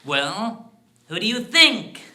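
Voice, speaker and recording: A man's voice: sits high, very animated, slow pace, sharp tone, pitched above the performer's own voice, exaggerated voice. A man voicing a tiny shabby dwarf, the mocking, cackling conscience of a narrator, in a dramatic story performance. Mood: taunting. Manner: leering, taunting, cackling. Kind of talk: dramatic solo short-story interpretation.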